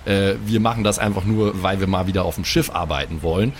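A man improvising as an old sailor in a tabletop game, gruff and chummy, sometimes adding what his character does. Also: deep voice, fast talker, slight background noise. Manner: gruff, chummy, sailor talk with stage directions. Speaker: player voicing an old sailor.

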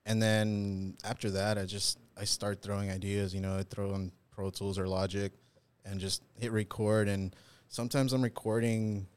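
A young man, a guest composer, talking casually and haltingly about his process. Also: on the low side, little pitch movement, a little mellow, clear, resonant tone. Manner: hesitant, halting, many restarts. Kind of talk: casual podcast interview.

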